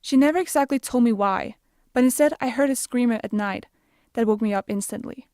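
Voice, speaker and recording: A young woman with a Bosnian accent, an activist reading an earnest, measured personal narration. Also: a little high, warm tone, dry recording, clean studio sound. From Denmark. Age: young adult. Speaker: youth activist.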